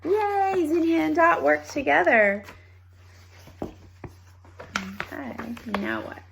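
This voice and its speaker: A woman reading a children's story aloud in an expressive manner, with playful asides to young listeners. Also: high voice, very slow, creaky, a little hiss or hum. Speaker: storybook reader.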